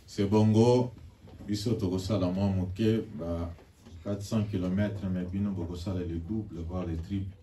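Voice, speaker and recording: A man's voice: low voice, steady tone, a little slow, warm tone. A man giving formal church announcements and leading call-and-response during a service. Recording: distant mic with faint noise.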